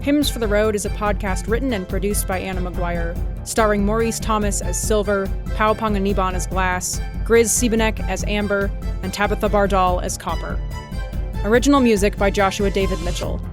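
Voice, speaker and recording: A woman announcer reads scripted podcast credits at a middle pitch. Music plays clearly behind her. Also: steady tone, moderate pace.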